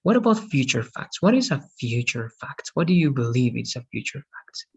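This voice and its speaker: A man's voice: medium pitch, big pitch swings, moderate pace, creaky, darkish tone, breathy edge, lo-fi sound. A man in his thirties teaching an online English class, patiently checking understanding with frequent "okay?" and "right?". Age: 30s.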